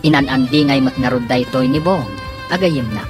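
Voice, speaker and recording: A woman narrating and announcing a radio drama in an expressive announcer's style. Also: rumbling low, moderate pace. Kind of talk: scripted narration. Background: clear background music.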